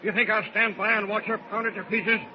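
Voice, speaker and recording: An actor playing a stubborn ship's captain who barks nautical orders in a 1940s radio adventure. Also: male voice, high voice, fairly even intonation, brisk, natural voice. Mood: stern, defiant. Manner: barking orders, nautical speech. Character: stubborn, commanding ship's captain, master of his vessel. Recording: muffled, noisy old radio recording.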